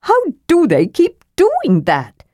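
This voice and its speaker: Woman voicing an excitable high-pitched little kender adventurer. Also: unhurried, dry recording. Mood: indignant. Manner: eager, indignant, chattering.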